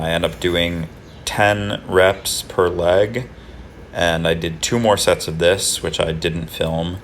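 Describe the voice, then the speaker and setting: A young man in his twenties talking casually through his day in a vlog, with a flat, monotone delivery. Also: sits low, a little hiss or hum. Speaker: vlogger. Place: apartment kitchen table and backyard.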